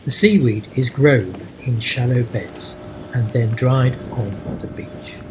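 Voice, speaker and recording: A man giving scripted, measured travel narration as a voice-over. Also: medium pitch, noisy background. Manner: measured and informative. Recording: voice-over with guitar music behind.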